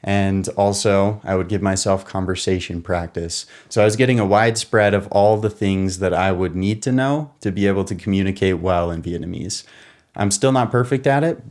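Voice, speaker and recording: A young American man in a casual, relaxed monologue, explaining things step by step and sometimes correcting himself as he goes. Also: on the low side. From United States. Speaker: podcast co-host.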